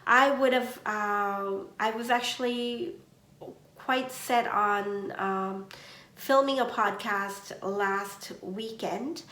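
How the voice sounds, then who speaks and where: A woman hosting a knitting podcast from home, chatting slowly with many ums, her voice a little congested from allergies. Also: mid-range voice, echoey room. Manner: slow, gentle, with many ums and uhs. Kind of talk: casual chat.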